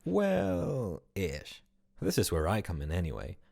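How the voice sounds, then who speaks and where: A man's voice: low-pitched, wildly swooping pitch, unhurried, vocal fry, darkish tone, full character voice. Man playing a glib afterlife bureaucrat. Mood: breezy. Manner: breezy, condescending, bureaucratic. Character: fussy, glib male afterlife closure officer. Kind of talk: amateur radio drama acting.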